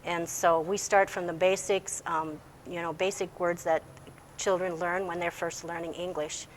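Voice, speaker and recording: A woman's voice: fairly low pitch, flat delivery, moderate pace, low-quality recording. Woman, schoolteacher in an interview. Explanatory, plain-spoken delivery, slight background noise. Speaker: English language teacher.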